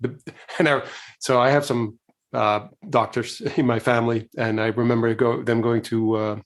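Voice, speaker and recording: A man's voice: medium pitch, flat delivery, normal speed. A middle-aged man hosting an interview, asking long, searching questions full of fillers and restarts. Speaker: interviewer, host. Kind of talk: conversational interview.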